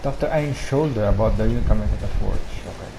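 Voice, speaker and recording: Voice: mid-range voice, quick pace, low-quality recording. Filipino-accented gameplay commentator over game music. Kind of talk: casual Taglish gameplay commentary. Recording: game music clearly behind.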